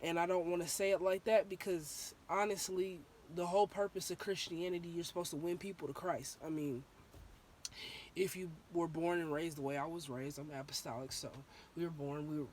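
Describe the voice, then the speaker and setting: Hoarse young woman rambling candidly. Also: fairly low pitch, steady tone, brisk, darkish tone, a little hiss or hum, poor audio. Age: young adult. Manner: candid and rambling, with many ums and restarts. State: sore throat, slightly hoarse. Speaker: vlogger. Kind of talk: casual opinion vlog.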